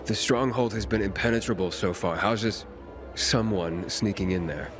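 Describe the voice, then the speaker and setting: An actor playing a weary, determined wanderer who is the hero of a video game, speaking tersely and guardedly. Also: male voice, lowish voice, normal speed, music in the background, natural voice. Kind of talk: video game cutscene acting.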